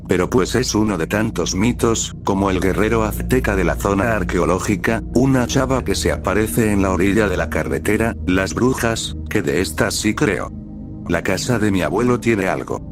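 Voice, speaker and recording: A man with a fairly low voice narrates scary stories. He reads from a script as a storyteller, and music plays clearly behind him. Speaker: narrator of a horror stories channel.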